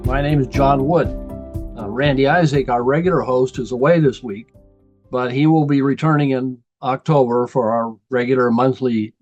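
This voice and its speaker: Male interview host, steady and hesitant, dry muffled video-call sound. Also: mid-range voice, no room sound. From Canada. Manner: measured, hesitant with fillers. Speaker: guest host.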